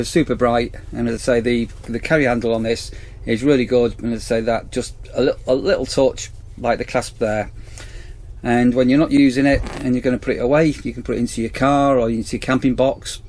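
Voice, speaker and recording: A man's voice: mid-range voice, a little mellow. A man in a campsite warden role, chatting casually outdoors as he reviews a torch on an action camera. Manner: chatty, rambling, repeats "as I say". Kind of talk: casual product review vlog.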